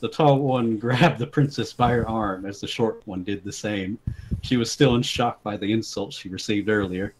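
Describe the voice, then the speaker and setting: A man's voice: on the low side, moderate pace, slight background noise, low-quality recording. A man giving a dramatic, mock-serious reading of an absurd comic story, often amused by it. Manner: amused, breaking into giggles.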